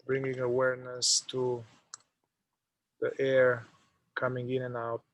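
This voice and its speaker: Man, meditation guide, slow halting delivery, long pauses, level intonation, medium pitch. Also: monotone, low-quality recording.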